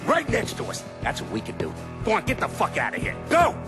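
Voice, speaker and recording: Casting: cornered American crime boss, bitter and profane, widely swinging pitch, video game drama with loud music. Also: male voice, huge pitch swings, a little fast. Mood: grim resolve. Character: desperate, foul-mouthed male mob boss, middle-aged or older. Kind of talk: dramatic game cutscene acting.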